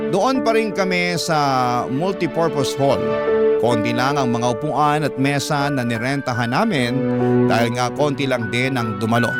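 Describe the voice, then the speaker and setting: A man with a Filipino accent narrating a listener's letter in scripted radio storytelling style. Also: mid-range voice. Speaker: radio drama host reading a listener's letter. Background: background music.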